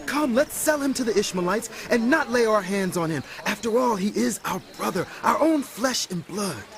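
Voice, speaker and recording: A persuasive, scheming brother in a Bible audio drama. The voice is high and gravelly, with lively swings. A crowd is heard behind him. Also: male voice, moderate pace. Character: scheming, persuasive brother among ancient shepherds.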